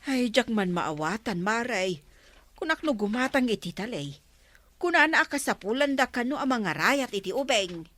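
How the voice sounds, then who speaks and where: A woman playing a fussy, excitable village councilor in a comedy drama, with an Ilocano accent and exclamatory, questioning delivery. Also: fairly high pitch, normal speed. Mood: puzzled.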